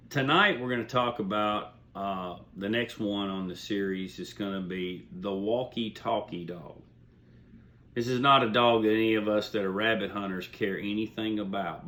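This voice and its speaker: Guy with a lowish voice hosting his own hobby series, folksy and rambly, just explaining stuff informally. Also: a bit bright. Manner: folksy, plain-spoken, rambling with asides. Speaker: host of a beagle hunting video series. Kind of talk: informal explanatory monologue to viewers.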